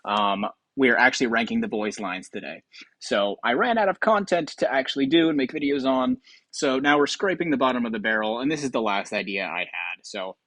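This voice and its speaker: A man giving casual gaming commentary as a host, in a medium-pitched voice. He slips into mock-grandiose, tongue-in-cheek announcing, recorded close and dry. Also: expressive, brisk, fairly bright tone, no room sound.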